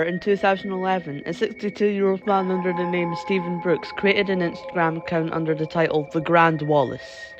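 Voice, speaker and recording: A man with a slightly high voice delivers scripted horror-story narration for a video. The recording is somewhat dull and lo-fi. Music plays in the background beneath his narration. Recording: dull, lo-fi voice track.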